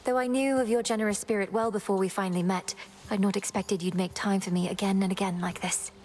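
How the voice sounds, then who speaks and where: An actress voicing an earnest, polite young goddess heroine who thanks her uncle warmly, in game dialogue. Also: medium pitch, fairly even intonation, fast, in a large hall, natural voice. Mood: grateful. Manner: short battle calls, breathy whispers. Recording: game audio over music.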